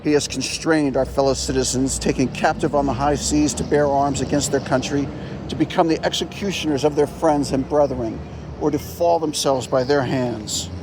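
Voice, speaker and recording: A man's voice: mid-range voice, steady tone, moderate pace, background noise. A man reading a historical document aloud, earnestly, leaning into each item of a list. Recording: clear music behind the voice.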